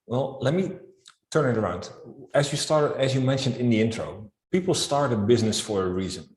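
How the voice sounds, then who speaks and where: A man with a Dutch accent, a guest accounting expert, explaining his views in a calm, structured way. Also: medium pitch, a little fast, roomy sound. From Netherlands. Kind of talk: interview answers.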